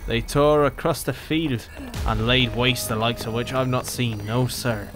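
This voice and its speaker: A man's voice: medium pitch, steady tone, unhurried, over music, lightly acted. A man voicing a haunted but resolute young warrior in a dramatised fantasy audio story. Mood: feigned enthusiasm.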